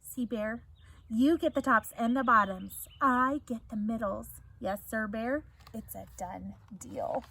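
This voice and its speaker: A woman's voice: a little high, slow pace, creaky, bright, forceful tone, a little hiss or hum, full character voice. A woman voicing a crafty male hare in a storybook, a slyly reasonable, placating trickster. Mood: smug.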